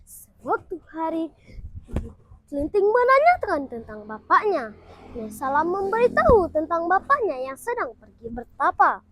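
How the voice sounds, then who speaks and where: A woman's voice: extremely high pitch, big pitch swings, a little slow, a bit bright. A young girl from Indonesia performing a scripted folk tale as a student storytelling contestant. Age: child.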